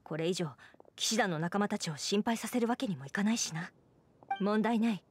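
A woman's voice: medium pitch, brisk, husky, close to an everyday voice. A woman playing a dutiful young knight commander in an anime game, speaking with formal, stoic phrasing. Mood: earnest, composed. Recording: game audio with music behind.

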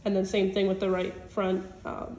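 A woman's voice: medium pitch, completely flat delivery, moderate pace, a little mellow. A woman vet tech with a stutter, reading out exam results. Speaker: vet technician. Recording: echoey room with faint noise.

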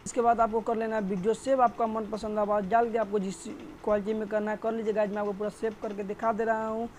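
A man's voice: high voice, quick pace, a little hiss or hum. A young man hosting an app tutorial, explaining steps earnestly while gesturing with his hands, recorded on a lapel mic in a small home. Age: young adult. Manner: earnest, talks with animated hand gestures. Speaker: tech tutorial host.

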